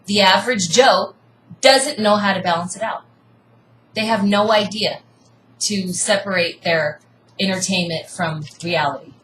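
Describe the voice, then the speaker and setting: A woman's voice: mid-range voice, measured pace, a bit bright. A woman in a casual debate, talking earnestly and insistently, almost preaching. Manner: earnest, insistent, preachy about seeking truth. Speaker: co-host debating. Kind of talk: casual argument. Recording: echoey room, faint hiss.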